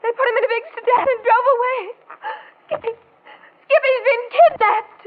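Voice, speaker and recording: A woman's voice: very high voice, fairly even intonation, normal speed. A woman playing a distraught mother close to crying over her small boy in an old radio melodrama. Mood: panicked, distraught. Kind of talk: 1940s radio acting. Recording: muffled, hissy old-time radio recording.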